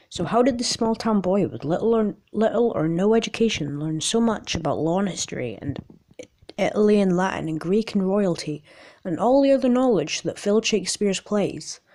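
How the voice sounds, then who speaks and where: A woman with a raspy voice in an amateur, casual video, rambling and reading aloud in a jokey, self-deprecating way with stumbles. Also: mid-range voice, expressive, normal speed, mellow. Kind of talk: casual rambling vlog with articles read aloud.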